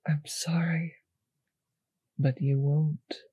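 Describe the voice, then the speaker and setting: A woman playing a cruel, possessive vampire mistress in an intimate audio roleplay, speaking in a slow, teasing, condescending purr with breathy, whispered phrases. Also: low voice, little pitch movement, mellow, no room sound, exaggerated voice. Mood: menacing, intimate. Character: cruel, possessive, seductive female vampire mistress toying with servant.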